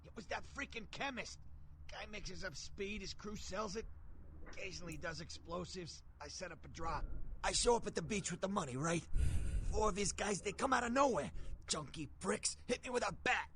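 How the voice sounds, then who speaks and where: An actor playing a wounded, whining, foul-mouthed vampire servant in a video game, strained and agitated. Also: male voice, sits high, normal speed, a bit bright. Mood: pained, indignant. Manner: agitated, cursing, pleading. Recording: clear background noise, game ambience.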